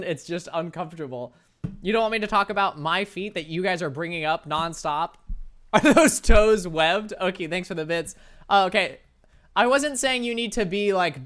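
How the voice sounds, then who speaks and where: A young man with a light, high-pitched voice, chatting casually and playfully with his viewers while he plays a game, laughing often and joking at his own expense. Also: a bit bright. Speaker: streamer. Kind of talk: casual chat reading viewer messages while gaming.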